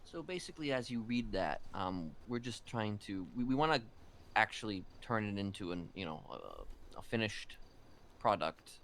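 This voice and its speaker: A man's voice: lowish voice, normal speed, slight background noise. A man chatting casually in character during a roleplay, hesitant and full of fillers. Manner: animated, hesitant, filler-heavy.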